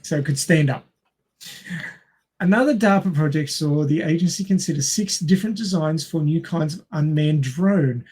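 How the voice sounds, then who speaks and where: Man, Australian accent, raised pitch, breathy husky tone, casual quiz host. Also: normal speed, a little mellow, poor audio. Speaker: podcast host reading quiz questions. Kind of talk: casual group quiz chat.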